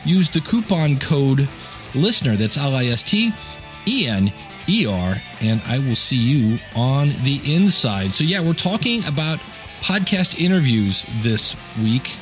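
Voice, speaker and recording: A man's voice: medium pitch, big pitch swings, measured pace, soft background music. A man hosting a podcast as a coach, talking informally and directly to his listeners in a conversational monologue.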